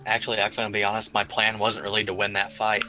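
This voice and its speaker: Casual male tabletop player, sharp bright tone, joking and loose, faint background music. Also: fairly low pitch, completely flat delivery, fast. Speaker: player in a tabletop roleplaying game. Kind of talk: casual chat. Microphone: remote voice call microphone. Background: background guitar music.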